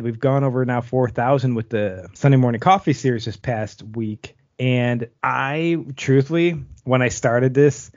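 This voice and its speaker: A man's voice: mid-range voice, darkish tone, no room sound. A man hosting a podcast, reading out a countdown and thanking listeners in a casual chat. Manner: countdown announcing, earnest and thankful. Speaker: podcast host. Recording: dry, dull lo-fi sound.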